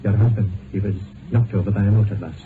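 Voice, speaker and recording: A man acting a grave, formal doctor in an old radio drama, speaking haltingly and apologetically. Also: on the low side, steady tone, lightly acted. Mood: grave, hesitant. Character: grave, hesitant male doctor bearing bad news. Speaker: actor. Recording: narrow, noisy old radio recording.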